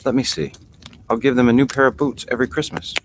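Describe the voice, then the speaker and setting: Storybook little girl, curious and self-questioning, talking to herself, read by a low-voiced man, noisy home recording. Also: animated, fast talker, close to an everyday voice. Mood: flustered, fussing. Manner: musing aloud to herself. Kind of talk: storybook character reading.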